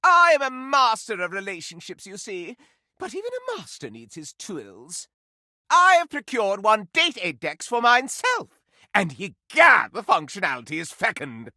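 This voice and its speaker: Flamboyant, wildly swooping candelabra dandy. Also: male voice, sits high, wildly swooping pitch, moderate pace, sharp tone, no room sound, studio quality, full character voice. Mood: smug, chummy. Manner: florid, campy, melodramatic, affected continental airs. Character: flamboyant, gossipy, theatrical male candelabra dandy. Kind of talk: theatrical video game character acting.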